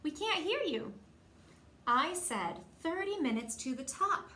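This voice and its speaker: A woman voicing excitable, playful young girl hikers from a picture book, in exclamations. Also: sits high, unhurried, voice pushed up, exaggerated voice. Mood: complaining. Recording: roomy echo, faint background noise.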